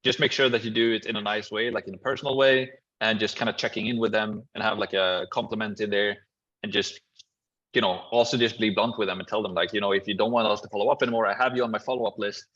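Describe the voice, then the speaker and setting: A man coaching callers on a group video call, giving relaxed, casual advice. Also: lowish voice, fast talker, muffled recording. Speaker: business coach hosting a Q&A call.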